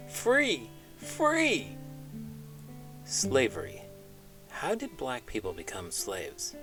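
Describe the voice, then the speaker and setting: A man, a teacher, reading a children's book aloud in an expressive storytelling manner. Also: lowish voice. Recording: music playing behind.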